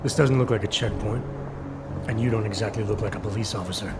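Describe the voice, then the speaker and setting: Brisk, gravelly, deadpan American agent. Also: male voice, fairly low pitch. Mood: firm, questioning. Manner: deadpan, clipped. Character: terse, guarded, jaded male agent. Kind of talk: video game cutscene acting. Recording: loud music behind. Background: music.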